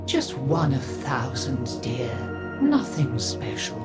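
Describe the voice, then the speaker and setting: A woman's voice: a bass voice, very animated, slow, heavily acted. A woman playing a wry, kindly old grandmother in a video game, with a folksy, drawling manner. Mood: dismissive, wry. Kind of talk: dramatic video game character acting. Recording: roomy echo, music behind.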